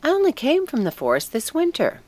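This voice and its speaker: A woman's voice: high-pitched, huge pitch swings, a little fast, a little mellow, no room sound, voice pushed up. A woman voicing an anxious, wistful young fir tree in a storybook, earnest and musing. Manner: musing, earnest, self-absorbed. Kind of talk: storybook character voice.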